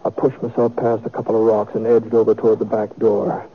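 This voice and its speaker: A man's voice: fairly low pitch, completely flat delivery, breathy edge. Tinny old radio recording, laconic Western gunman. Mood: somber, reflective. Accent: American. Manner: laconic, folksy, measured. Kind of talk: 1950s radio western acting and first-person narration.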